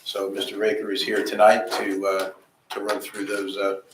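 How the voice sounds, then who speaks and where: A man's voice: on the low side, monotone, moderate pace. A man speaking as an attorney before a planning board, formal and dotted with hesitations, recorded in a town hall room. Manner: formal, many uh fillers. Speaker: attorney for an applicant. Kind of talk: formal testimony. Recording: echoey room, distant mic, faint noise.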